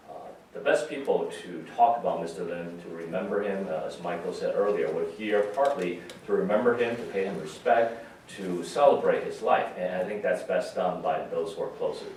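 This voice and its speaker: Man, low pitch, pastor, conversational memorial sermon, halting and reflective, heavily echoing room. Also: a little mellow. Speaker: pastor giving a funeral message. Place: large reverberant room at a memorial service. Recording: very echoey hall, distant, faint noise.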